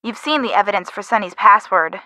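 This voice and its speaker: The sensible chum on a mystery game's hint phone line , played by a woman, brisk and matter-of-fact, close and dry. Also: mid-range voice, sharp tone, no echo, lightly acted. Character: sensible young woman sleuth's friend, practical and chiding. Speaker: game hint-line character. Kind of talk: scripted video game dialogue.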